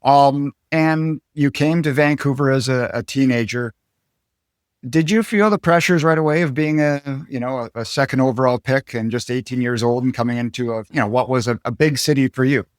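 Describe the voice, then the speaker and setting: A male interview host with a mid-range voice asks long, winding questions, pausing and restarting as he goes. He sounds close to the mic in a dry room. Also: mid-range voice, dry recording. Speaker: podcast host.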